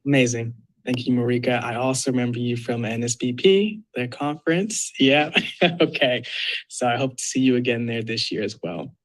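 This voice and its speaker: A young man moderating an online panel discussion, introducing each speaker with frequent pauses and filler sounds. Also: mid-range voice. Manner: halting, many filler uhs.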